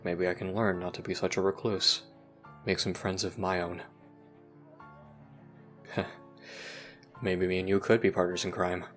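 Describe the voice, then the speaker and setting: A man playing a withdrawn, dry-humoured teenager in an audio roleplay, deadpan and hesitant. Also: low-pitched, a little slow, pauses often, faint music behind. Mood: wry, wistful.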